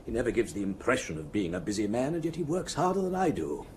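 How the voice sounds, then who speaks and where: An actor playing a fussy, discreet head clerk, formal and nervously deferential, with hesitant, precise phrasing in a radio drama. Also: male voice, fairly low pitch, sing-song, quick pace, a little hiss or hum. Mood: prim, chatty.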